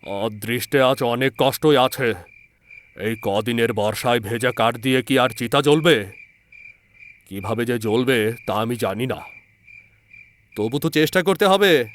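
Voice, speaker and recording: A man's voice: medium pitch, unhurried, pauses often, slight background noise, lightly acted. A man voicing a firm, impatient man ordering his companions about in a horror audio story.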